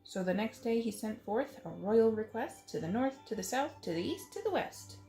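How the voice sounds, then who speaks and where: A woman's voice: on the high side, lively intonation, normal speed, dark tone. A woman reading a rhyming picture book aloud to children with dramatic, sing-song phrasing, in a roomy recording. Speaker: children's story reader. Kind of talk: expressive picture-book read-aloud in rhyme. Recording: roomy echo, faint music.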